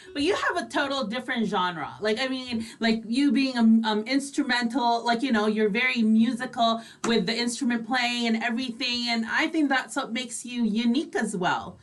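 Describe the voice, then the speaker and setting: A woman's voice: a little high, normal speed, hardly any pauses. A woman hosting a radio show in casual conversation, effusive and often agreeing. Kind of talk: casual chat.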